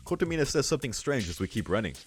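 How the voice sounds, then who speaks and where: A man's voice: medium pitch, sing-song, rapid-fire. A man hosting a let's play, reading a visual novel aloud and adding informal, musing asides.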